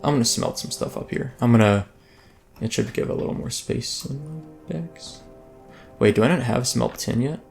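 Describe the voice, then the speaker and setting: A man's voice: mid-range voice, moderate pace, creaky, darkish tone, faint music behind. A man hosting a let's play, giving casual, rambling gameplay commentary that keeps breaking off mid-thought. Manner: rambling, self-interrupting, chatty.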